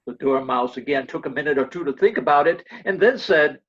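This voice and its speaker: A woman's voice: low-pitched, a little fast. A woman reading scripted narration for a readers' theater storybook piece, over dull lo-fi audio.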